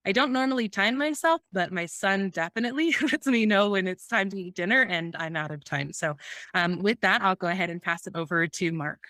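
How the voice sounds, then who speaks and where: A woman's voice: mid-range voice, a little fast, sharp tone, no room sound. A woman moderating a webinar as host, talking in a light, easygoing way.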